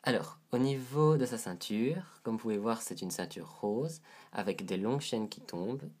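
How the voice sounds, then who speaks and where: A man's voice: medium pitch, normal speed, darkish tone, slightly rough. A man with a head cold and a stuffy nose, reviewing a doll to camera in a casual, hesitant way, describing details as he handles it.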